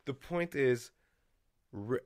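A man in his thirties chats loosely as host of a home podcast, close on a studio mic in a dry little room. He curses freely and laughs between phrases, with a voice of middling pitch. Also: takes it slowly, no echo. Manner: swears often, laughs a lot, animated hand gestures. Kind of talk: casual podcast chat. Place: small home studio with foam panels. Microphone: headphones and studio mic on boom arm.

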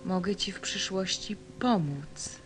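A woman's voice: mid-range voice, takes it slowly, close to an everyday voice. A woman playing a cryptic, powerful desert snake in a radio play, speaking enigmatically. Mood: ominous, pitying. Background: music.

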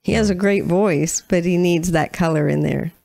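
Low-voiced woman chatting on a reaction show. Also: normal speed, creaky, mellow, no room sound, studio quality. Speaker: music reaction channel co-host. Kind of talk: casual reaction chat. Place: small room at home, indoors. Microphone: close boom-arm microphone.

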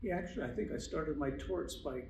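Older man, panelist, relaxed chuckling stories, roomy echo, a bit off-mic. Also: on the high side, a little fast, vocal fry, slight background noise. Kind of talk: panel discussion. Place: large meeting room, indoors. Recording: distant echoey room sound.

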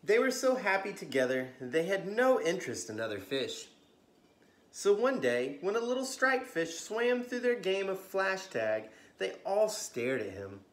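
An American man in his thirties hosting a children's story time, reading aloud expressively with gentle asides to young listeners. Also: high voice, big pitch swings, a little slow. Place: living room, on a couch indoors. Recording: roomy, echoey home recording.

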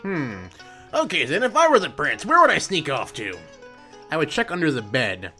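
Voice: medium pitch, wildly swooping pitch, moderate pace, sharp tone, soft background music. A gaming host thinking aloud in casual, chatty let's play commentary.

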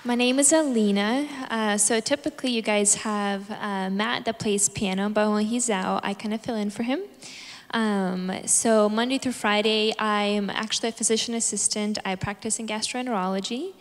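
A young woman from Russia speaks earnestly and softly to a church congregation, with many ums. Her voice has a middle pitch and carries a long hall reverb from a handheld stage mic. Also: steady tone, normal speed, a faint crowd. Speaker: church band pianist. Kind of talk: introducing herself to a congregation. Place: church stage before an audience.

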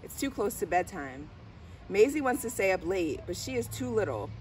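A middle-aged woman reads a children's picture book aloud. Her voice sits at an average pitch. It is picked up outdoors from a short distance, with light ambient noise behind her. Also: sing-song, moderate pace, a little mellow. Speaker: school diversity director reading aloud. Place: outdoors on a garden bench under trees. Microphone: camera a few steps away. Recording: distant camera mic, outdoor background noise.

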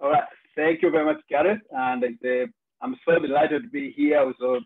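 A man in his forties from Tanzania speaking on a video call as a panelist, halting with many fillers, his pitch jumping high on some words. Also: steady tone. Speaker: emergency physician, panelist. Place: room with bookshelves indoors. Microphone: video call with earphones. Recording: rough phone-like video call audio.

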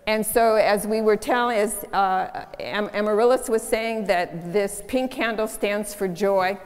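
A woman's voice: mid-range voice, unhurried. A woman pastor giving an unscripted closing charge to a congregation, speaking reflectively with fillers and restarts. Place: large church sanctuary. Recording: long church reverb.

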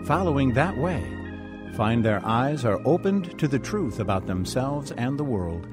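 Male radio announcer: scripted, dramatic, mid-pitched with wide swings, music behind. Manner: measured, dramatic.

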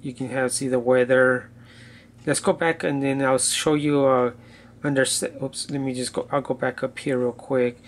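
A man's voice: mid-range voice, flat delivery, normal speed. A man giving a casual, unscripted gadget demo indoors, thinking aloud a bit hesitantly as he goes. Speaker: gadget reviewer.

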